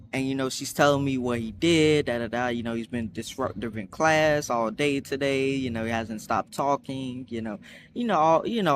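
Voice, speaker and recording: A young man chatting casually in a storytime vlog, rambling with many fillers and restarts, acting out quotes in higher voices. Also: a little hiss or hum. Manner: acts out quotes with high voices. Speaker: vlogger.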